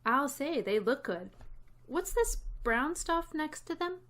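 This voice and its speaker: A woman's voice: high-pitched, big pitch swings, slow, fairly bright tone, full character voice. A woman playing a wry, long-suffering schoolteacher in old-time radio comedy, with a dry, wisecracking delivery. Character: wry, long-suffering female high school English teacher. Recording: noticeable noise with clicks.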